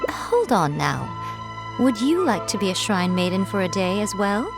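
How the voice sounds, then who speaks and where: A woman's voice: medium pitch, lively intonation, moderate pace, creaky, over music. A woman playing a sly, self-assured head of a shrine in a video game, with a playful, coaxing manner. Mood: teasing, persuasive.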